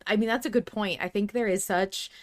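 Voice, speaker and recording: A woman with a mid-pitched voice co-hosts a fan podcast. She talks casually and effusively, in loose, informal language, as if among friends. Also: fairly even intonation, a little fast. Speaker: podcast co-host.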